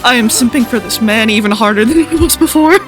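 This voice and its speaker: A woman giving casual, gushing gameplay reaction commentary, excitable and prone to swearing. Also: a little high, fairly quick. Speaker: gaming content creator. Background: game music and sound.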